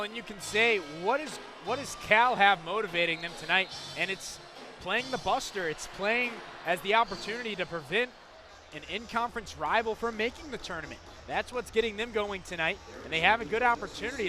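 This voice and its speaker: An American man giving conversational, opinionated color commentary on a live basketball broadcast. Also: sits high. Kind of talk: live sports analysis.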